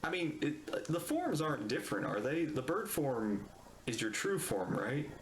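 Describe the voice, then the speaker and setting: A man's voice: mid-range voice, big pitch swings, unhurried, reverberant, a little hiss or hum. A man giving casual, amused, rambling reaction commentary as he streams a video game.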